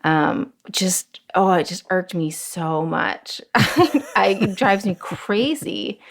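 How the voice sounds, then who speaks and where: A woman with a Southern US accent, appearing as a guest on a podcast. She has a mid-range voice and talks in a lively, emphatic, sometimes exasperated way. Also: medium pitch, slow pace, vocal fry. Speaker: guest reporter. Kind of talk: casual podcast chat.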